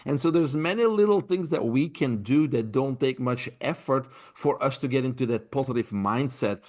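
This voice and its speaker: A man's voice: mid-range voice, normal speed, a little mellow. A man with a Belgian accent, a health mentor speaking earnestly as a podcast guest, often repeating words as he thinks. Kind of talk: podcast interview.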